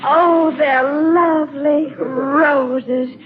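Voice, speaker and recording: Gushing, high-voiced Southern belle. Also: female voice, fairly even intonation. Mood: coy, flattered. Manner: breathless gushing, drawn-out words. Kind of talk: 1940s radio comedy acting. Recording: tinny 1940s radio recording with hiss.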